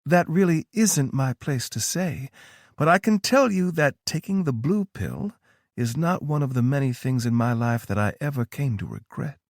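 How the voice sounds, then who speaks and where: A man reading an audiobook voices a composed woman recruiter who speaks in a calm, knowing way. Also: mid-range voice, normal speed, no echo, clean studio sound, voice pushed up, close to an everyday voice.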